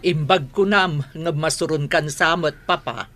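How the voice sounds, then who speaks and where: A woman's voice: low-pitched, a little slow, a bit bright. An actress with an Ilocano accent playing a defiant, argumentative married mother hooked on gambling, in a radio drama. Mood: defensive. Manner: argumentative, indignant.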